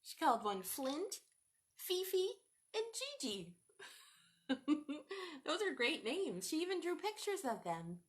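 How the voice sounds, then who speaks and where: A woman's voice: high-pitched, sing-song, measured pace, pauses often. A woman hosting a children's storytime, reading a picture book aloud in a sing-song teacher voice and asking the children questions.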